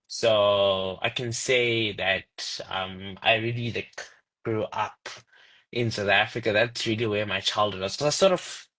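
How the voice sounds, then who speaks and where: A man in his twenties from Zimbabwe, talking as a guest in a casual interview. His voice is bright and forceful, and he tells stories with animated jumps in pitch. He sounds like he is on a video call. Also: poor audio. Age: 20s.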